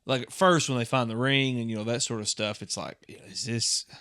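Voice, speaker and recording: A man's voice: medium pitch, fairly even intonation, brisk, sharp tone, clear, resonant tone, no room sound, clean studio sound. A man co-hosting a podcast, thinking aloud in a tentative, casual way as he discusses a horror story. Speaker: podcast co-host.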